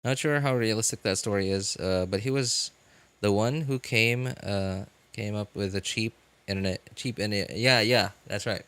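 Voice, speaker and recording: A man hosting a livestream, chatting casually and unscripted with viewers in a halting, rambling way that often repeats phrases. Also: on the low side, a bit bright, smooth, no room sound. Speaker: livestream host.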